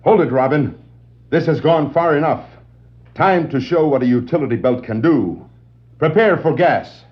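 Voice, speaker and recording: An actor playing an earnest, commanding superhero detective with stagey, declarative delivery on a 1960s children's adventure record. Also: male voice, fairly high pitch, moderate pace, lightly acted. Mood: urgent. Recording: dull 1960s vinyl record dramatization.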